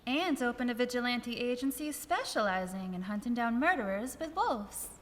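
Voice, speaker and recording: A woman's voice: on the high side, expressive, normal speed, a bit bright. A woman acting a spirited, eager heroine in a live comic radio play. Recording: echoey hall, long reverb.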